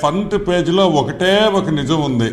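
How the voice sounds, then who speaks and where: A man gives mocking commentary in a slightly high voice. The sound is very echoey, with faint music behind him. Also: expressive, normal speed.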